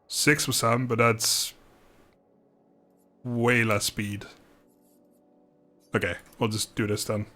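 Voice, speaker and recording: A man's voice: mid-range voice, little pitch movement, slow, pauses often, bright, forceful tone. A man giving casual, rambling, self-deprecating commentary as he livestreams a video game. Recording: music playing behind the voice.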